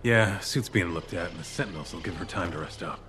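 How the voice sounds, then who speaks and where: A man's voice: sits low, brisk, natural voice. An actor playing a terse townsman in a video game. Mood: terse, grave.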